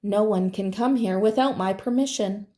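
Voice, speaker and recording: A woman's voice: medium pitch, moderate pace, dark tone, natural voice. A woman reading the part of a proud, stern male gardener in a children's storybook, bossy and emphatic. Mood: stern, possessive.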